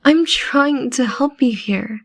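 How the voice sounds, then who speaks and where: Slow, insistent teenage girl, played by a woman. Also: high-pitched, full character voice. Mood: probing. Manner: earnest, pressing. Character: loyal, insistent, sharp-witted teenage girl schoolmate. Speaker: voice actor. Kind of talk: audio drama acting.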